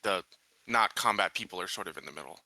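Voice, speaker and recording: A man's voice: low-pitched, quick pace, creaky, bright, forceful tone, no room sound. A man talking casually as a player in a tabletop roleplaying game, planning out moves.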